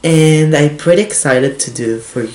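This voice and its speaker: A teenage boy from Israel, a native Hebrew speaker, talking in halting English with a Hebrew accent and many fillers while showing his laptop. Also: on the high side, darkish tone. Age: teens. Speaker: tech vlogger. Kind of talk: casual unboxing and review vlog. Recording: roomy echo, faint hiss.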